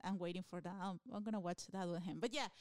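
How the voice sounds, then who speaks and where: A woman's voice: on the low side, fairly even intonation, fast, darkish tone, no room sound, clean studio sound. A woman from Peru with a Peruvian Spanish accent, chatting casually as a comedian guest, laughing often and restarting her sentences. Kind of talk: casual interview chat.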